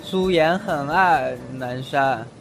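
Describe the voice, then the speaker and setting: A performer playing a cocky, playful young male fox spirit in a Chinese costume audio drama, brash and expressive. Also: medium pitch, slow pace, lightly acted. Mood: petulant. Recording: loud music bed behind voice.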